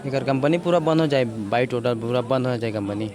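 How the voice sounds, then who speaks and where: A man's voice: mid-range voice, brisk. A man with a Chhattisgarhi accent giving short, plain colloquial answers as an interviewee. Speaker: interviewee from a victim's family. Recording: outdoor recording with crowd noise. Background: crowd.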